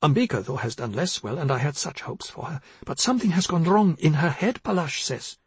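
A man's voice: a little high, sing-song, a little fast, slightly rough, dry recording, voice pushed up, exaggerated voice. A man voicing a frail, confused elderly mother who rambles apologetically and fretfully in a story. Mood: apologetic. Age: older.

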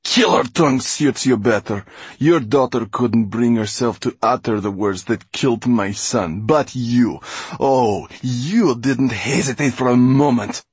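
A man's voice: medium pitch, takes it slowly, gravelly, breathy edge, dry recording, voice pushed up, heavily acted. A man voicing a vengeful old villainess in an audiobook, a grieving mother who spits out her words imperiously. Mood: venomous accusation. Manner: spitting, venomous, imperious exclamations. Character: vengeful old woman, hobbling, grief-maddened villain mother.

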